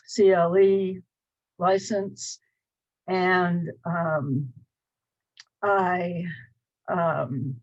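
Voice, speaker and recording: A woman's voice: lowish voice, steady tone, extremely slow, long pauses, highs cut off. A woman in her sixties from Cleveland with a Northern US accent, introducing her college course in a chatty, rambling way with many fillers. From Cleveland, Ohio. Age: 60s. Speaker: college instructor. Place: small home office. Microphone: webcam at desk.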